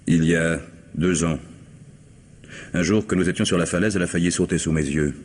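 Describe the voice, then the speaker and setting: An actor playing a guarded, formal husband under suspicion in a French radio mystery, speaking with measured courtesy. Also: male voice, low-pitched, steady tone, lightly acted. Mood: weary, defensive. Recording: noisy old radio drama recording.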